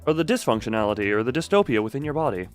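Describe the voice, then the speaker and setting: A man chats casually as a game streamer, with a lively, animated pitch. He is playful and jokey, rambling as he answers his viewers. Faint music plays behind his voice. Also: racing. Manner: playful, jokey, talking back to chat.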